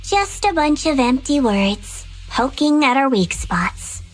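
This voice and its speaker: A woman's voice: sits high, big pitch swings, slow, faint music behind, heavily acted. An actress voicing a self-assured, world-weary woman fighter in a video game, dry and scornful. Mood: cool, scornful.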